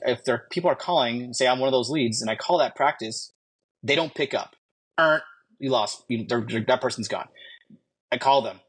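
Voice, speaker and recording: Man, 50s, middle pitch, measured conversational guest who reasons aloud. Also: fairly bright tone, low-quality recording. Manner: thoughtful, restarts phrases, walks through examples. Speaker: guest, medical spa marketing. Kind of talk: casual conversation.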